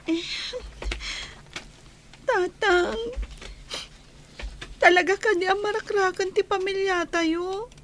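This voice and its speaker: A woman's voice: very high voice, fairly even intonation, slow, pauses often, a bit bright, slight background noise, full character voice. A woman playing a sad young child talking to a father in an Ilocano radio drama. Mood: plaintive.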